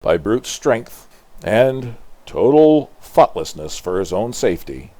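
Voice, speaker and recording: A man's voice: fairly low pitch, big pitch swings, takes it slowly, mellow. An older man from Canada reading a comic book aloud as a dramatic storyteller.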